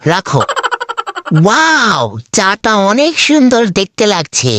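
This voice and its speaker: Woman acting a slow, pompous old cartoon great-uncle. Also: on the high side, very animated. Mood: showy delight. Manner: slow, deliberate, pompous, dropping English phrases. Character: fussy, pretentious old great-uncle nicknamed for a crow. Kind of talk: comic cartoon skit. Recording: noisy amateur recording with clicks.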